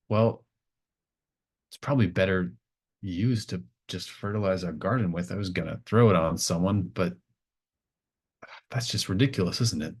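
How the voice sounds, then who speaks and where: Guy doing a stunned old gardener lady for an rpg, rambly and unsure, pretty low voice. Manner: halting, wondering, rambling. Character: stunned elderly small-town gardener woman, rambling, begrudgingly reflective.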